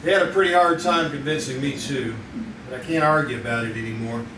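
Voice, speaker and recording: A man's voice: medium pitch, fast talker, natural voice. A man playing a brash, loyal crime photographer in live old-time radio drama, with slangy, clipped tough-guy talk. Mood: grim, matter-of-fact. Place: theater stage, live performance. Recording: roomy, distant stage recording with hiss.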